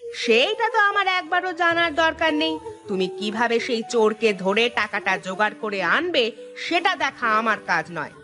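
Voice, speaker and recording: A woman's voice: a little high, sing-song, normal speed, music in the background. A woman doing a scolding village wife. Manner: scolding, stern, demanding. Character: sharp-tongued village wife. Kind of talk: Bengali cartoon voice acting.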